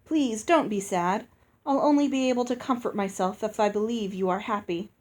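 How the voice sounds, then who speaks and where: A woman's voice: mid-range voice, moderate pace, a little mellow, natural voice. Woman reading as a courtly storybook knight. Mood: reassuring. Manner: courtly, polite. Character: courteous, gallant male knight in a fairy tale.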